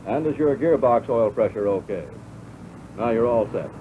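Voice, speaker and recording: An American man reading scripted instructional narration for a 1940s military training film in a measured, instructive way. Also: mid-range voice, lively intonation. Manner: measured, instructive, addressing the listener as pilot. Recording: tinny band-limited 1940s film soundtrack, loud noise.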